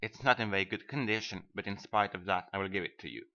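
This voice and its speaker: Man playing a selfish storybook miller, deep, bright forceful tone, pompous, self-satisfied, emphatic. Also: quick pace, muffled recording. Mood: condescending. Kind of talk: acted reading of a fairy tale.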